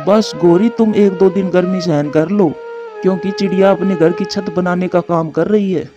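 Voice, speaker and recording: A man's voice: sits high, steady tone, normal speed, highs cut off, music in the background, natural voice. A man voicing a henpecked, grumbling crow husband in a Hindi cartoon. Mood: compliant, plotting.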